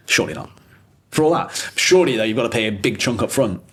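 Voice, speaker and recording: A man's voice: lowish voice, expressive, background noise. A man in his thirties, co-hosting a casual business podcast with chatty banter and lots of fillers. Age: 30s. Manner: quick, chatty, full of fillers and restarts. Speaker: podcast co-host.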